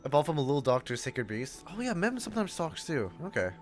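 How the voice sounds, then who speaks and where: A man streaming casual game reaction commentary, haltingly repeating his phrases as he thinks aloud. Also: on the high side, very animated. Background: game music.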